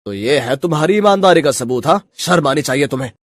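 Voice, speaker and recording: A man playing a stern, commanding food inspector who accuses people, in an animated moral story. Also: fairly high pitch, fast, no room sound. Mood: scornful.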